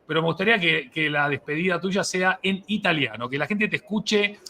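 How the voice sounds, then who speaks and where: A man's voice: a little high, a little fast, sharp tone. A man hosting a casual, unscripted interview, effusive and enthusiastic toward his guest. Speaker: host of a live interview show.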